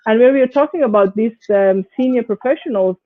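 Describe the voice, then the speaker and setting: A woman's voice: fairly high pitch, brisk. A woman from Iran speaking as a panelist in an online discussion, hesitant with many fillers and restarts. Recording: tinny phone-like call audio.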